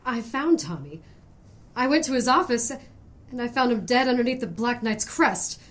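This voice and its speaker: Woman acting sharp, sceptical detective's partner. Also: a little high, animated, normal speed. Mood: upset. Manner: curt, sceptical. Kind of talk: noir audio drama acting.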